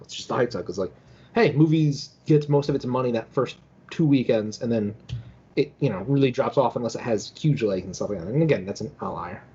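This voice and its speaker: A man's voice: medium pitch, mellow, husky. A man in a casual podcast discussion, rambling as a panelist, on dull lo-fi audio. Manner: rambling, peppered with "like".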